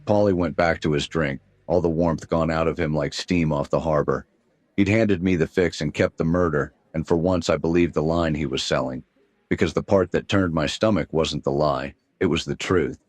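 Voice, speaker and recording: Very deep, weary noir detective. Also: male voice, moderate pace, no echo. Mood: brooding, wry. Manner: world-weary, wry, deadpan. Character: weary hard-boiled male private detective, cynical. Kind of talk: 1930s noir radio drama narration.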